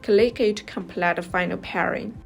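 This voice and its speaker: A woman's voice: mid-range voice, moderate pace, gravelly. A woman narrating a scripted product review step by step as the reviewer. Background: music.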